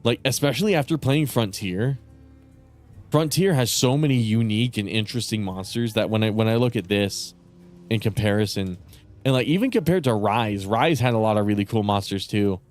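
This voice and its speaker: A man chatting casually with viewers on a live stream, rambling and swearing with lots of fillers, his voice starting to go raspy. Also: on the low side, normal speed, a little mellow, faint music behind. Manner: rambling, profane, many fillers and restarts. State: voice getting raspy.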